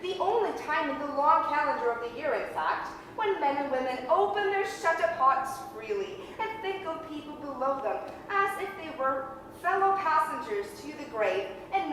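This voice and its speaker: A woman playing a miser's cheerful, warm-hearted young nephew in a radio-style drama, earnest and exclamatory. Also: high voice, animated, moderate pace. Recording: very echoey hall, distant, faint noise.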